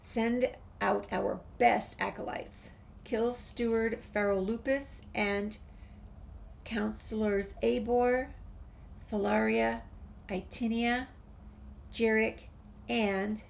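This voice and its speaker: Creaky-voiced woman reading game text aloud. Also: on the high side, steady tone, slow, long pauses. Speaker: gameplay streamer. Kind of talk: reading game documents and dialogue aloud with casual reactions. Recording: faint background noise, game ambience.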